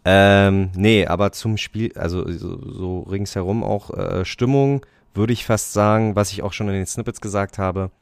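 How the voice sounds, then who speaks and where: Guy with a lowish voice chatting about football, lots of ähms, dry close mic. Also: fairly even intonation, normal speed, vocal fry, few pauses, no room sound. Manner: rambling, many fillers and restarts, laughs often. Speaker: podcast co-host. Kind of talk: casual chat about football.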